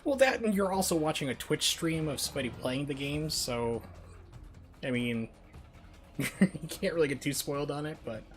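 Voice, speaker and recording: A man's voice: on the high side, lively intonation, moderate pace. Casual male game streamer over music. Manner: conversational, explanatory. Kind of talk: casual gameplay commentary with chat. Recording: game audio and music behind. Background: video game sound and music.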